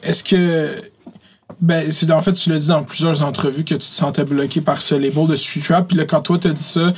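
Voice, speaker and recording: Man, Quebec French accent, slightly higher voice, husky, laid-back host, franglais slang. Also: little pitch movement, normal speed. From Laval, Quebec. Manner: casual, mixes English slang into French. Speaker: podcast host. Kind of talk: casual podcast interview. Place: podcast studio.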